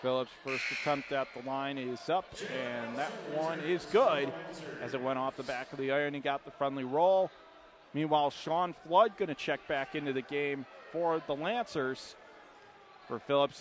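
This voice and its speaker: American guy calling hoops on radio, high voice, punchy phrases, noisy arena behind him. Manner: urgent, punchy calls in short phrases. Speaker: radio play-by-play announcer. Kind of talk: live basketball commentary. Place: courtside in an indoor arena. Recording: loud gym noise behind. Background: arena ambience, bouncing basketballs.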